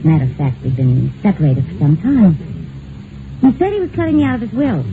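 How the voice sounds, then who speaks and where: An actress playing a cool, scheming estranged wife who blackmails in an old-time radio mystery, coolly insinuating. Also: female voice, lowish voice, huge pitch swings, fairly quick. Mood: calculating. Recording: tinny, noisy vintage radio recording.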